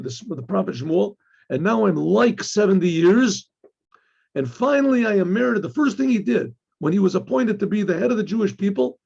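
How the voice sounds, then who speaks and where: A man, a rabbi with a husky, gravelly voice and frequent throat-clearing, gives a religious lesson, reading and explaining a text haltingly with repeated phrases and rhetorical questions. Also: high-pitched, darkish tone. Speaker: rabbi teaching. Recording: dull, lo-fi sound.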